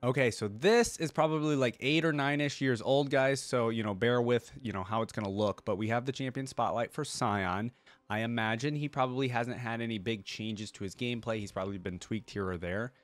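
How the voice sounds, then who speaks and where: A man's voice: medium pitch, brisk, no room sound. A man hosting a reaction video, commenting casually as he watches.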